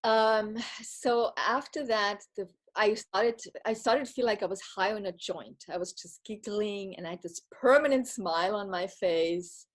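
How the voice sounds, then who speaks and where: A woman who grew up speaking Bavarian tells a personal story in English. She speaks at a middle pitch, reflective and earnest, often circling back and restarting her sentences. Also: a little slow, hardly any pauses. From Bavaria, Germany. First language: Bavarian German. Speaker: retreat participant giving a testimonial.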